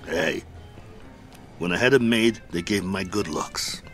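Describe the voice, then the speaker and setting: A down-on-his-luck boxing manager in an old-style radio play. His voice sits low, and he talks eagerly, trying to please and persuade. Music plays under the scene. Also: measured pace, a bit bright. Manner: eager, pleading. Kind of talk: 1960s-style radio drama acting.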